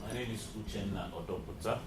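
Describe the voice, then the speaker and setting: A man's voice: on the low side, quick pace. A middle-aged Nigerian man with an Igbo accent, an actor and filmmaker making emphatic, speechmaking remarks at a press conference. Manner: emphatic, speechmaking, uses everyday comparisons. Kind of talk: impassioned public remarks. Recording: echoey hall with background noise.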